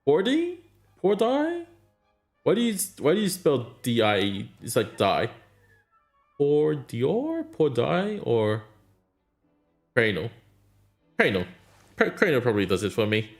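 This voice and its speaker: A man's voice: medium pitch, huge pitch swings, slow pace, pauses often, soft background music. A man streaming a mobile game, chatting casually and answering his chat in a rambling way. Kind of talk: casual live-stream chat while gaming.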